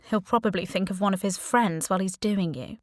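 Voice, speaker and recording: A woman's voice: medium pitch, fairly quick, a little mellow, no echo, clean studio sound, lightly acted. A woman voicing a teenage girl's inner devil in an audiobook, with a bored, sarcastic, cutting drawl. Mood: mocking.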